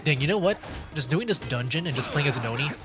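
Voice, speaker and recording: A man's voice: on the high side, animated, fast. A man giving casual, rambling gameplay commentary as a streamer, thinking aloud as he plays. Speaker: game streamer. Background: game music.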